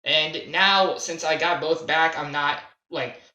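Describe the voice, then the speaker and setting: A young man telling a casual, unscripted gaming story in a halting, self-deprecating way, stressing key words as he goes. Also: on the high side, fairly even intonation, bright, forceful tone. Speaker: video creator telling a personal gaming story. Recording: roomy, echoey home recording.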